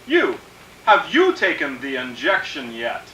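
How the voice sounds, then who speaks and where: Deferential male general played by a man, radio drama. Cautious, bright, animated pitch, distant stage recording. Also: a little slow, reverberant, slight background noise, full character voice. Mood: cautious, probing. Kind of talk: old-time radio drama acting.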